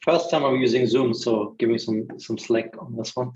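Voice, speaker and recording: A man's voice: medium pitch, normal speed, darkish tone. A man casually hosting an online meetup over a video call. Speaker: meetup organiser and host. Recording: roomy, distant, dull call audio.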